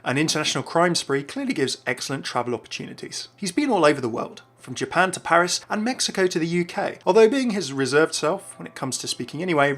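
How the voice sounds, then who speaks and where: A man's voice: on the high side, fairly bright tone. A man hosting a scripted video game lore voice-over in a chatty, informal way.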